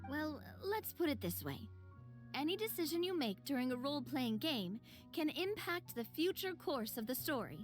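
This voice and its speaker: An actress playing an earnest, kindly young woman adventurer in a video game, expressive and thoughtful. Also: high voice, normal speed, soft background music. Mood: earnest, persuasive.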